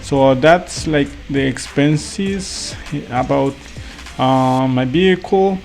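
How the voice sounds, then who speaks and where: A man's voice: mid-range voice, slow, creaky, darkish tone, faint music behind. A man from El Salvador, speaking English as a second language, giving a casual travel review with many fillers, restarts and apologies. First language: Spanish. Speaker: travel vlogger.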